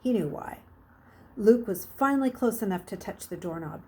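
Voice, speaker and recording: A woman reading a children's novel aloud like a teacher, with expressive, dramatic emphasis. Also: medium pitch, normal speed. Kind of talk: expressive storybook narration.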